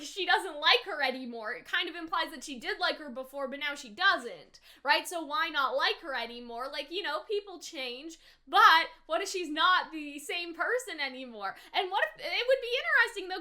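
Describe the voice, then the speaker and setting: A woman giving casual, unscripted reaction commentary in a chatty, expressive way, often saying "like" and "right?". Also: extremely high pitch, normal speed, few pauses. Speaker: host of an anime reaction and review.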